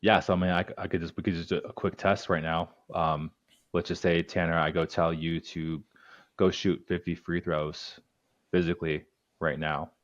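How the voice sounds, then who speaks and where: A man coaching breathwork as a podcast guest, calmly guiding listeners through breathing exercises in short instructive phrases. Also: low voice, normal speed, no room sound, highs cut off.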